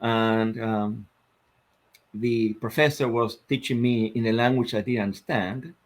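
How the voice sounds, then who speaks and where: Argentine guy in his 50s, spanish first language, slow and thoughtful with lots of ums. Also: medium pitch, steady tone. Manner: reflective, measured, with frequent fillers. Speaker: guest, sports psychologist. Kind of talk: interview storytelling.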